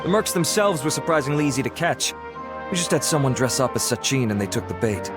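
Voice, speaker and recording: A man plays a dutiful officer in a video game, with a mid-pitched voice. He reports in a composed, dry and measured way, with music clearly playing behind him. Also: animated, natural voice. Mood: composed, wry. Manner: measured, dry, matter-of-fact.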